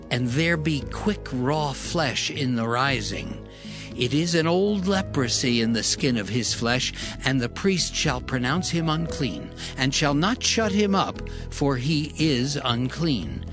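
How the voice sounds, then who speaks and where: A man reading scripture as a measured audio Bible narration. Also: on the high side. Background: background music.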